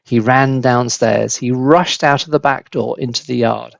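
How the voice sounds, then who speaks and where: Gravelly man reading a children's story. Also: medium pitch, fairly even intonation, dry recording, lo-fi sound. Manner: dramatic, deliberate phrasing. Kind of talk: expressive children's storybook narration.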